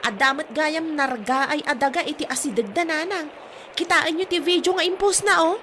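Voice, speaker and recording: A woman's voice: sits high, normal speed, a bit bright, slight background noise. A woman acting a gossipy, fussing older mother in an Ilocano comedy drama.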